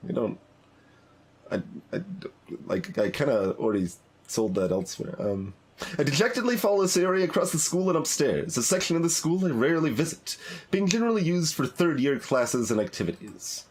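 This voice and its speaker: A man's voice: a little high, sing-song, moderate pace, slightly rough. A man livestreaming a game, reading its narration and dialogue aloud with casual commentary over music.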